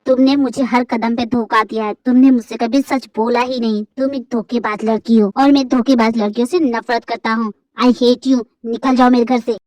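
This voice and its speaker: Woman playing a harsh young husband, pushed low, curt and commanding. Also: brisk, a little mellow, dry recording, lightly acted. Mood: furious. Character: tormented young wife, male role, man.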